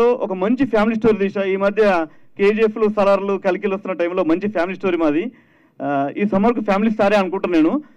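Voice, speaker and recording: A man's voice: high voice, darkish tone. A man, a film director, giving a promotional thank-you speech at a launch event, mixing in English words. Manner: talks with frequent English words. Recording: dull, lo-fi event PA recording.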